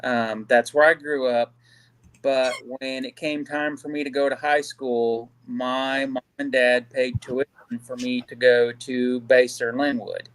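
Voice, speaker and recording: Man from Kansas, live-stream guest, average pitch, halting with lots of "you know.". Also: level tone, fairly bright tone, clean tone, low-quality recording. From Kansas City, Kansas. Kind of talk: casual live-stream discussion.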